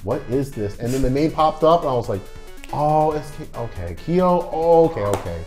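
A man's voice: fairly high pitch, moderate pace, faint music behind. A young man chatting casually and slangily as he reacts on a gaming panel, in a roomy space. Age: young adult. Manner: animated, slangy. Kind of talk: casual chat, reacting to trailers. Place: small furnished room, on a couch.

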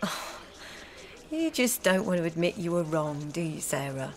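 A woman's voice: sits low, lively intonation, normal speed. A woman acting in a radio drama as a trusting, easily defensive lover of a married man.

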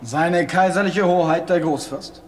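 An actor playing a composed, deferential courier officer, reporting formally in a German audio drama. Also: male voice, fairly high pitch, measured pace, noisy background, close to an everyday voice. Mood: formal, dutiful. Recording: long hall reverb.

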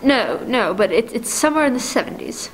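A woman's voice: a little high, sing-song, a little fast, a little hiss or hum, poor audio. A young woman playing a frantic, demanding invalid wife in a 1940s-style radio play, stammering and repeating herself as she pleads. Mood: nervous, demanding.

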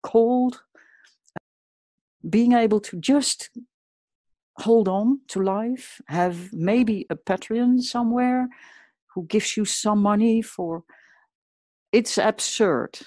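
A woman's voice: a little high, takes it slowly, long pauses, warm tone, dry recording. A woman from the Netherlands with a Dutch accent, talking earnestly and reflectively as a podcast guest. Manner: earnest, reflective, slightly non-native phrasing. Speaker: guest artist. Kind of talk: podcast interview.

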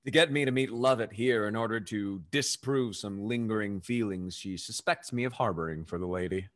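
A man's voice: lowish voice, normal speed, close to an everyday voice. A young man playing a suave, scheming rake in a period stage comedy, sly and theatrical. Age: young adult. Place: dark indoor room, video call.

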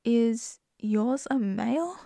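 A woman's voice: on the high side, animated, slow pace, vocal fry, dark tone, dry recording. A woman with an Australian accent in a close-mic ASMR roleplay as a wife, playful and giggly.

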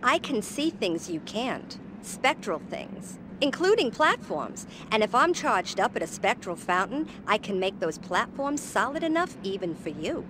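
A woman's voice: mid-range voice, big pitch swings, a little slow, few pauses, noisy background. A woman voicing a perky, bossy pink girl ghost in a cartoon game, sassy and impatient. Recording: game music behind voice.

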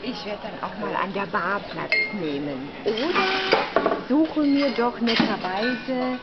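A woman's voice: a little high, animated, slow pace, heavy background noise. A woman in her thirties presenting a hotel tour to a handheld camera in a busy restaurant and bar, in a polite, showy presenter tone. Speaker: vlogger giving a hotel tour. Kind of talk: chatty presenter narration to camera. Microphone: handheld camera mic. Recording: dull camera audio, loud crowd. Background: loud crowd chatter.

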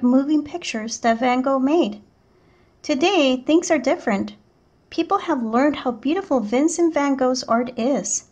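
A woman teacher reading a picture book aloud to children in a clear, expressive storytelling manner. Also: high-pitched. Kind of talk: children's read-aloud narration.

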